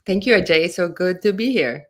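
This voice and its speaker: A woman from Latvia, a career mentor, giving earnest, measured answers with a spiritual bent in an interview. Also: on the low side, animated, fairly bright tone.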